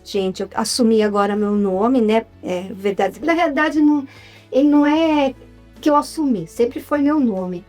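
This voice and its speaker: This is a woman with a Brazilian Portuguese accent, speaking in a somewhat high voice. She chats animatedly as an interview guest, often tossing in little tag questions. Soft music plays faintly behind her. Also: lively intonation, moderate pace. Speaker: guest, writer and actress. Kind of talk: relaxed interview chat.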